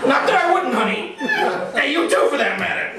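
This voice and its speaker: A man's voice: extremely high pitch, sing-song, a little fast, distant mic, a loud crowd. A man playing a hostile, paranoid, washed-up junkie stand-up comic who abrasively heckles a live audience. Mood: surly, provocative. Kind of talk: live stand-up comedy sketch. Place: live theatre stage with audience. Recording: very echoey, noisy theatre from afar.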